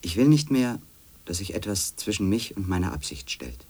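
An actor playing a brooding, insistent former magazine editor in a measured, introspective German radio play style. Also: male voice, lowish voice, lively intonation, background noise, close to an everyday voice. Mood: brooding, observant. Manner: measured, literary, introspective narration.

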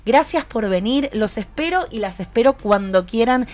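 A woman's voice: on the high side, expressive, moderate pace, a little mellow. A woman with an Argentine accent hosting a live radio interview, encouraging her guests and asking them questions. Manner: encouraging, asks many questions. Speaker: radio show host.

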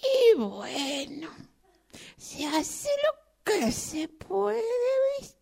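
A woman voicing a lonely old male tortoise in improvised radio theatre, with a Rioplatense Spanish accent and a halting, plaintive, breathy delivery. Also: high voice, big pitch swings, a little mellow, full character voice. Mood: sad, plaintive. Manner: halting, plaintive, rambling, breathy drops into near-whisper.

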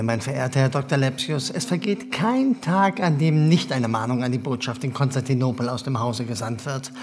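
A man's voice: on the high side, background noise, lightly acted. A man in a radio drama playing a condescending German government official, formal, evasive and hesitantly polite.

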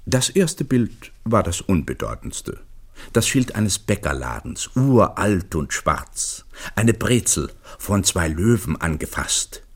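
Deep, animated male storyteller. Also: slow pace, slightly rough. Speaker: narrator. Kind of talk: scripted literary narration for radio drama.

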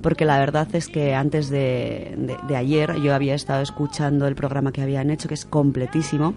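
A man's voice: mid-range voice, flat delivery, fairly quick. A man with an accent from Spain co-hosting a radio show in an enthusiastic, casual, chatty way. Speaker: podcast co-host presenting a literature section.

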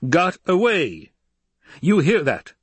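Man acting an imperious, wrathful Emperor, pitched high. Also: animated, unhurried, slightly rough, no room sound. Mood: scornful, indignant. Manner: declamatory, biting, domineering. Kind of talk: dramatic audiobook character voice.

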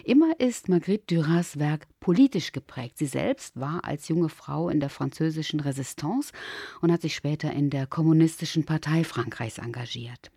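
Mellow, husky woman radio presenter. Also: low voice, animated, moderate pace, no room sound, clean studio sound. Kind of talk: scripted radio introduction.

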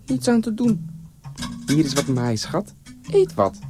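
Man putting on a high-pitched, sly, sweet village wife. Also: very animated, unhurried, over music. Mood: soothing. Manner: sweetly wheedling, exclamatory. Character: sly, mock-innocent African village wife and mother.

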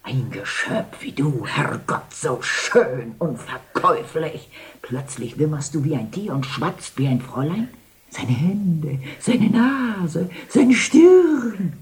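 Bossy older brothel madam, lowish voice, mocking, grand theatrical radio drama delivery. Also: female voice, very animated, slow pace, darkish tone, heavily acted. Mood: scornful scolding. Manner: haughty, mocking, declamatory. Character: imperious, scolding older brothel madam, scornful of love.

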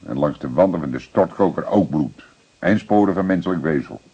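A seasoned male detective in a radio play, with a very deep voice. He is calm, persistent and dryly matter-of-fact, roughened by huskiness. The recording is dull and muffled. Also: fairly quick, exaggerated voice. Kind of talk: radio drama acting.

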